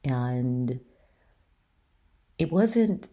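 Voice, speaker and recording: A middle-aged woman with a strikingly low, deep voice talks on her own show. Her tone is mellow and dark, and she speaks earnestly, pressing her points with questions to the listener. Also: lively intonation, extremely slow. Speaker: show host. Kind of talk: solo opinion talk.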